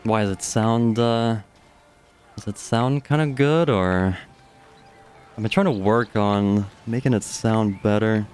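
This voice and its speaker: A young man with a deep voice doing casual, chatty live commentary on a strategy game match, speaking into a studio microphone and swearing offhandedly. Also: slow, a little mellow, faint music behind. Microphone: studio dynamic microphone.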